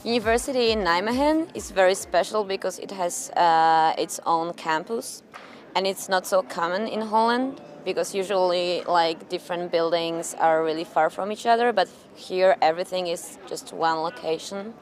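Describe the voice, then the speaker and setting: A woman's voice: lowish voice, moderate pace. A young woman from Poland, an exchange psychology student, speaking casually and chattily in an interview. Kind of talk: interview testimonial.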